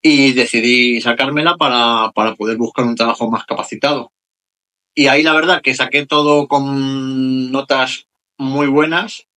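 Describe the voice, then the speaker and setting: Bright-voiced man from Madrid, early forties. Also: medium pitch, steady tone, unhurried. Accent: Madrid Spanish. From Madrid, Spain. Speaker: interview guest, emergency medical technician. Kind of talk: casual interview answers.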